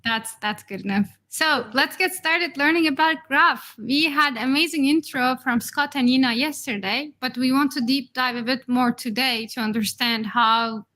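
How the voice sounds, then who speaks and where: A woman's voice: high voice, moderate pace, hardly any pauses, pushed and bright. A woman whose first language is Turkish, hosting a livestream in an upbeat way and laughing often. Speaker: host.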